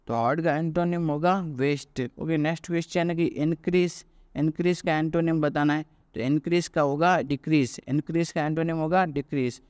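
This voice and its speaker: Smooth-voiced male teacher drilling antonyms. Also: fairly high pitch, steady tone, a little mellow, no echo, studio quality. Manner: asks and answers his own questions, pauses with fillers. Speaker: exam coaching teacher. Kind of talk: classroom lecture.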